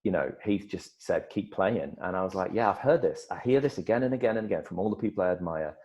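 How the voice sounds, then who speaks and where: A middle-aged man, an actor, telling stories in a casual interview, lively and full of restarts. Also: deep voice, fast talker, muffled recording. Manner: animated storyteller, many restarts and repeated words. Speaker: actor guest.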